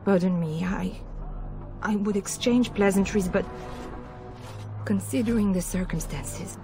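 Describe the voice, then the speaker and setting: An actress playing an anxious noblewoman and mother in a fantasy game, speaking in a halting, formal, imploring way. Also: mid-range voice, close to an everyday voice. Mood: anxious, guarded. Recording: music behind the voice.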